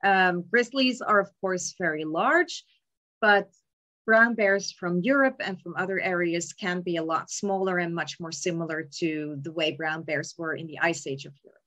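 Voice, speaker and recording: A young woman giving a remote lecture on palaeontology from an indoor room over a webcam, explaining slides in a measured way with frequent ums. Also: lowish voice, sharp tone. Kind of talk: slide lecture on cave bears. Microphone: webcam in a video call.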